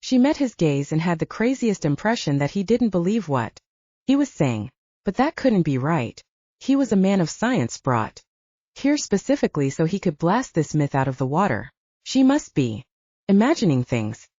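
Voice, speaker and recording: A woman narrates an audiobook with a low, mellow voice and lively, varied intonation. She reads scripted fiction, and the sound is close and dry. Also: moderate pace, no room sound, lo-fi sound.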